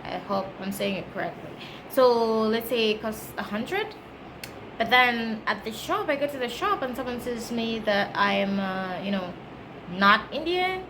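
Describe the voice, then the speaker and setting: A woman's voice: a little high, lively intonation, unhurried. A woman from Kenya with a Kenyan accent, chatting casually to camera as a travel vlogger and explaining things in a conversational way. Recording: clearly noisy background ambience.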